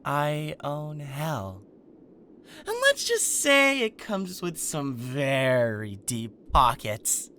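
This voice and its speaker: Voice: medium pitch, wildly swooping pitch, extremely slow, bright, forceful tone, slight background noise, heavily acted. A performer playing a cocky, protective male king of Hell in an ASMR roleplay, sarcastic and defiant. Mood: smug sarcasm.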